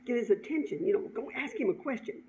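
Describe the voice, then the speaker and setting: A woman's voice: on the high side, animated, fast, darkish tone, lightly acted. A woman voicing a bossy, easily embarrassed teenage daughter in a story, insistent and pleading. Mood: urging. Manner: insistent, pleading, repeats orders. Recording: dull lo-fi sound, background noise.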